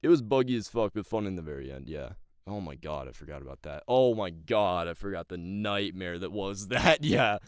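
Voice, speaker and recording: A man with a gravelly voice, an amateur voice actor chatting casually in loose, slangy talk. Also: sits low, animated, normal speed, dry recording, studio quality. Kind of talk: casual chat.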